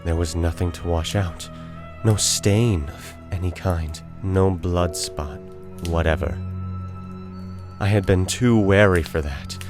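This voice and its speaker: A man voicing a guilt-crazed murderer in a theatrical, deliberate dramatic reading of a gothic horror story. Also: deep voice, over music. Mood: smug, composed. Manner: theatrical, deliberate phrasing with drawn-out words. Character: guilt-crazed murderer, feverishly confident, unraveling into frantic confession.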